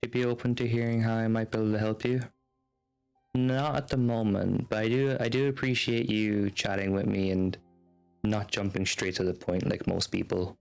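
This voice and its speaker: Low gravelly-voiced man streaming a game. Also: level tone, normal speed, a little mellow. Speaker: video game livestreamer. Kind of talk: casual livestream chat, reading game text aloud. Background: video game music.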